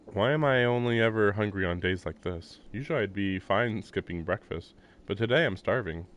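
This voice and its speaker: A man's voice: fairly low pitch, normal speed, a bit bright. A man streaming a game, chatting casually and reading its text aloud, laughing often and full of fillers.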